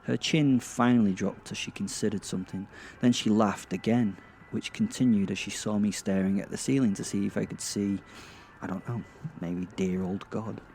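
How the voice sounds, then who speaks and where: A man playing a sardonic, awkward werewolf who narrates in a halting, self-mocking way with theatrical emphasis, in a horror-comedy audio drama. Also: on the low side, steady tone, a little mellow, close to an everyday voice, rough recording. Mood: awed. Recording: clear background ambient noise.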